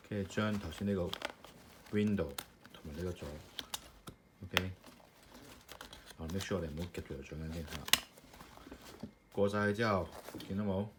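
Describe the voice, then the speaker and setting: A man's voice: deep voice, moderate pace, long pauses. A man casually walking through a hands-on assembly step by step, loose and full of fillers. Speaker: maker demonstrating his product. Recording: clear background noise with clicking parts.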